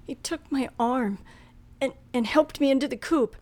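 A woman's voice: sits high, moderate pace, darkish tone, close to an everyday voice. An actress playing a frightened, tense woman traveler with a dramatic, hesitant delivery in an old radio thriller. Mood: tense narration. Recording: faintly noisy old radio recording.

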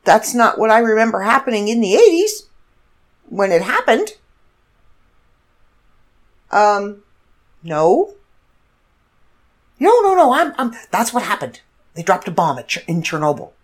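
A woman's voice: mid-range voice, big pitch swings, measured pace, long pauses. A congested woman in her fifties, hosting a casual solo podcast and rambling through stories with self-corrections. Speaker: podcast host.

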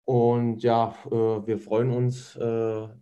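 An easygoing man talks as a guest, pausing often with fillers while he works out what to say. His voice sits at an ordinary, middle pitch. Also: monotone, dark tone. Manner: easygoing, hesitant, many fillers. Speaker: guest, ice hockey player.

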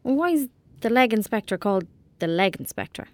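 A woman's voice: mid-range voice, big pitch swings, fairly quick, dry recording, close to an everyday voice. A woman playing an anxious, imaginative young girl from rural Ireland in an audio drama, with an Irish accent and blunt, colloquial talk. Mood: quiet, curious. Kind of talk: naturalistic audio drama acting.

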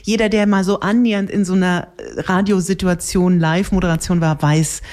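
A middle-aged woman co-hosting a radio show in casual, emphatic, expressive chat. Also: fairly low pitch, fairly quick. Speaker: radio host.

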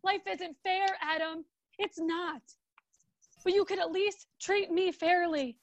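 Radio drama wife, played by a woman: very high voice, plaintive and reproachful, dry, slightly dull recording. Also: steady tone, slow, no room sound, full character voice. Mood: hurt, accusing. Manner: emotional, accusing, plaintive. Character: hurt, reproachful young wife longing for a family.

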